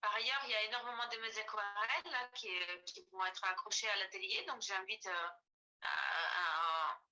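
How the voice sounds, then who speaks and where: A woman's voice: mid-range voice, moderate pace, sharp tone, low-quality recording. A woman in her forties from Ukraine, a native Ukrainian speaker, talking as an artist guest on a video call, with many fillers and restarts. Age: 40s. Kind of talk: casual interview.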